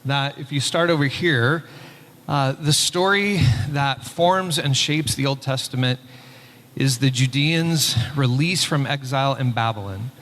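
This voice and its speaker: A man at a conference podium presents a theology paper in a relaxed, unhurried way, often pausing on "uh". His voice sits in a middle range and carries a long reverb from a big hall. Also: medium pitch. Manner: relaxed, speaks with frequent "uh" fillers. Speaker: pastor and church superintendent presenting a paper.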